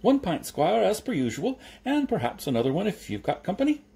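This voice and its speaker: A man's voice: high voice, wildly swooping pitch, a little fast, vocal fry, mellow, pitched above the performer's own voice, heavily acted. A man voicing a cheery, unabashed storybook milkman with a sing-song sales patter. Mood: cheery, eager.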